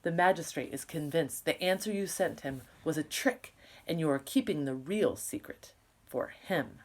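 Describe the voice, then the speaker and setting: A woman's voice: deep voice, moderate pace. Lady reading as panicked neighbor guy in a storybook, urgent warning, really emphatic. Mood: urgent, alarmed. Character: breathless, alarmed male neighbor warning of danger.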